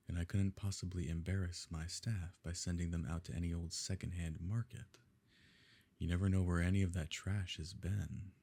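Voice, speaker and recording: A man playing a smug, wealthy evil nobleman in an intimate ASMR roleplay, suave and condescending, with a gravelly, husky voice. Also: low voice, mellow, exaggerated voice. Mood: haughty, calculating. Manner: suave, condescending, leisurely formal phrasing.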